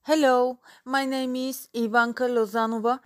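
A woman's voice: high voice, little pitch movement, moderate pace. A woman from Bulgaria, a language student, reading a short prepared talk in an earnest way. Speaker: ESOL student.

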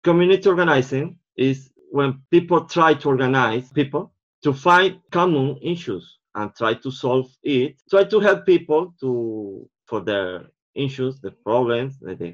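Man from venezuela, earnest, searching for words, dull lo-fi sound. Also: medium pitch. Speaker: ESOL student. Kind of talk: casual self-introduction and discussion. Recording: dull lo-fi recording.

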